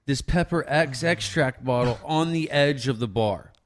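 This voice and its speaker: A man's voice: medium pitch, unhurried. Rambling, cussing man in his thirties. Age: 30s. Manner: rambling storyteller, swears freely. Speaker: podcast co-host. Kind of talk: casual chat.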